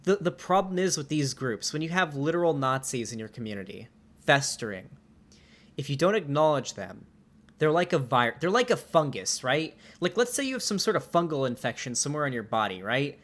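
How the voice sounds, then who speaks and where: A man's voice: fairly high pitch, brisk. Young man swearing through stream commentary. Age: 20s. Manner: swearing, animated and exasperated, with laughs. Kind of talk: casual livestream commentary.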